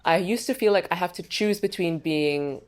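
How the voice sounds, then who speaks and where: Low-voiced chatty woman guest. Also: normal speed. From Singapore. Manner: open and chatty, many fillers like "like". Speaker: guest, songwriter and content creator. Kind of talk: casual podcast conversation.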